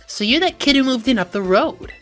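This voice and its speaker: A woman plays a confident, sassy teenage girl from an anime game. The delivery is eager and breezy, with lively pitch swings over faint music. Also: fairly quick. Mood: eager, friendly. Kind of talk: anime and game character voice acting.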